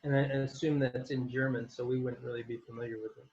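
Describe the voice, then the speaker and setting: A man interviewing a guest on a video call, asking short questions and dropping quick casual remarks. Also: mid-range voice, flat delivery, darkish tone, muffled recording.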